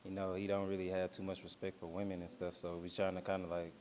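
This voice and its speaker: A man with an American accent speaks in a deep, level voice. His interview answers are casual and colloquial, with faint noise in the background. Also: flat delivery, fast, a little mellow. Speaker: stage actor being interviewed. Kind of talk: casual chat.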